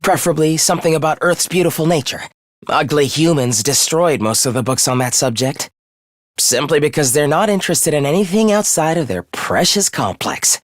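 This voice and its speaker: An actor playing a polite, softly menacing young man who loathes humanity, speaking in a formal, theatrical, condescending way for a video game. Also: on the high side, expressive, moderate pace, no room sound, studio quality, close to an everyday voice. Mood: soft, courteous. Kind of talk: English video game voice acting.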